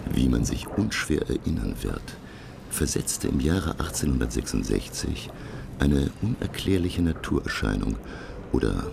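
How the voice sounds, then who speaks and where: Learned gentleman professor, radio drama narrator, bottomless gravelly voice, lively intonation, formal, measured, dramatic emphasis, noisy backdrop. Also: rumbling low, exaggerated voice. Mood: intrigued, suspenseful. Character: learned French professor narrating his sea adventure. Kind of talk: radio play first-person narration, literary.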